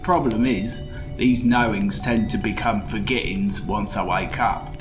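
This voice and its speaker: A man's voice: fairly low pitch, steady tone, unhurried, natural voice. A man voicing a chatty, folksy goblin tinkerer who guides the listener through a playful fantasy bedtime story. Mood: fond, wry. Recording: music behind the voice. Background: music.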